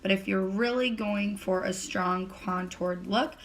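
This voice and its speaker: A young woman whose voice is still a little raspy and gravelly from a recent bout of laryngitis. She talks at a middle pitch in a casual, chatty way, walking step by step through a makeup routine with plenty of "um"s. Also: steady tone, a little slow, a little hiss or hum, low-quality recording. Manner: chatty, filler-heavy step-by-step explaining. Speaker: beauty vlogger.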